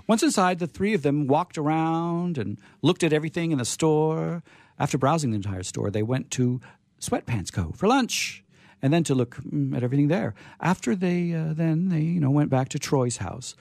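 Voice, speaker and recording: A man's voice: on the high side, expressive, normal speed, vocal fry, darkish tone, dry recording. A man hosting a radio show, reading short comic stories aloud and chatting in a playful, theatrical way from a studio.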